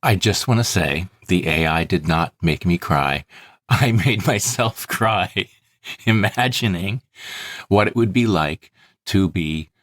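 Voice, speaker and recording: A man's voice: sits low, expressive, takes it slowly, a bit bright, dry recording, studio quality. A man co-hosting a podcast, chatting casually about stories. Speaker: podcast co-host.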